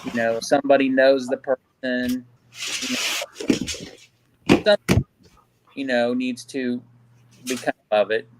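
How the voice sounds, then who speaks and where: A man from Kansas, a guest on a live stream, talking in halting bursts full of "you know.". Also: mid-range voice, heavy background noise. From Kansas City, Kansas. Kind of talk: casual live-stream discussion.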